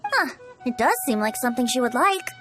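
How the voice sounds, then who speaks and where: A carefree young male bard in a video game. The voice is high and lilting with lively swings, playful and grandiose, over clear background music. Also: fairly quick. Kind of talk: video game character acting.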